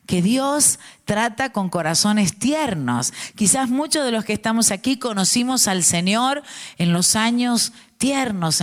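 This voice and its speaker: Argentine lady in her 40s preaching, fervent, swoops up and down on key words. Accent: Argentine Spanish. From Mendoza, Argentina. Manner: fervent, drawn-out emphatic delivery with pitch swings. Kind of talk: sermon.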